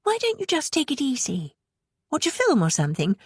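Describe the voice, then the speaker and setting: A woman's voice: high voice, wildly swooping pitch, brisk, no echo, voice pushed up. A woman reading a protective, earnest mother in a storybook, with a British English accent. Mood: pleading.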